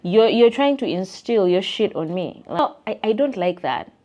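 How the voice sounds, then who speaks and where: A young woman with a Ugandan English accent, ranting animatedly to camera in a casual vlog and mimicking people in squeaky voices. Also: animated, normal speed, dark tone. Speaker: vlogger. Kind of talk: casual chat rant to camera.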